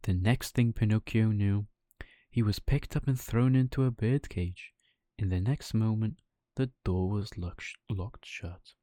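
A man's voice: on the low side, measured pace, warm tone, dry recording, clean studio sound. A man narrating a children's bedtime story as a storyteller.

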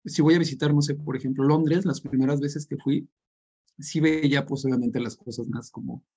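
A Mexican man who lives in northern England, chatting thoughtfully as a podcast guest with lots of pauses and fillers. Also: medium pitch, steady tone, warm tone, husky. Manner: thoughtful, hesitant, many fillers. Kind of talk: casual conversational chat.

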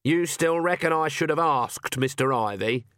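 A stern old witch with no patience for nonsense, voiced by a man. She talks bluntly and firmly in a raised, sharp voice, recorded close and dry. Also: fairly high pitch, normal speed, no room sound, pitched above the performer's own voice, lightly acted. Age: older. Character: Granny Weatherwax, stern older woman witch. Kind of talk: audiobook character voice.

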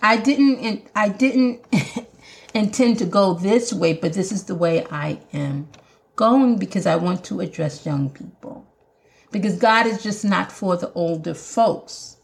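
A woman with a Jamaican accent talks to her podcast listeners in a preaching way. Her voice has a gravelly fry, and her delivery is earnest and emphatic, with some restarts. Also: medium pitch, unhurried. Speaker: podcast host.

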